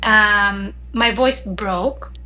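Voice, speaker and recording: A woman from Greece speaks as a guest singer in an interview. Her voice is bright and clear at a mid pitch, and she talks thoughtfully, pausing and restarting her sentences as she searches for words. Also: dull audio, slight background noise. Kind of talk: casual interview chat.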